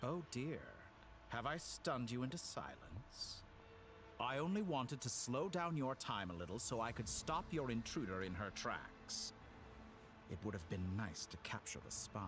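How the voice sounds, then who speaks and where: A man voicing a smug, silky game villain with godlike power, theatrical and condescending in his mock-polite, deliberate delivery. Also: medium pitch, expressive, a bit bright. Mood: smug, amused. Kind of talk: scripted video game dialogue. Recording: game music behind the voice.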